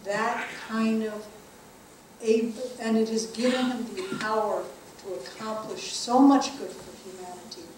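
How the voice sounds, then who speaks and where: A woman's voice: fairly high pitch, a little mellow, a little hiss or hum. Earnest woman teacher in echoing hall. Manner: measured and earnest, slowing on key phrases. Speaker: spiritual teacher. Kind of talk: spiritual talk to an audience. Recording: echoey room from a distant mic.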